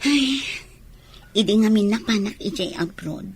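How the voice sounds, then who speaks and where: A woman's voice: mid-range voice, lively intonation, unhurried, darkish tone, slightly rough. A woman playing a scheming, possessive, sulky former girlfriend with an Ilocano Filipino accent in a radio drama. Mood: pleading.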